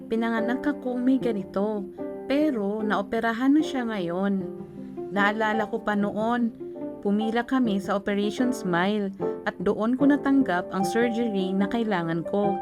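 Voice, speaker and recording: A woman voicing a kind, reassuring aunt with a Filipino accent, gentle and conversational, in an animated short film. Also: medium pitch, lightly acted. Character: kind, reassuring aunt, born with cleft palate. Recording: music behind the voice.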